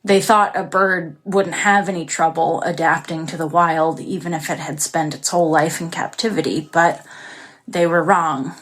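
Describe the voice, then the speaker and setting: A woman's voice: low-pitched, steady tone, moderate pace. An actress playing an isolated, anxious young woman who recounts her story in an intimate, confessional way for an audio drama. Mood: wistful. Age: young adult. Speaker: actress performing a monologue. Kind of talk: dramatic first-person audio drama narration.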